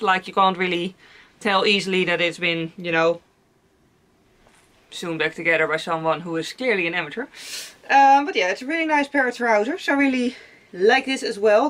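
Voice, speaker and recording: Bright-voiced young woman chatting casually. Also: mid-range voice. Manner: chatty and rambling, many fillers and repeated words. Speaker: vlogger. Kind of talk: casual haul vlog chat. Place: cluttered attic room at home.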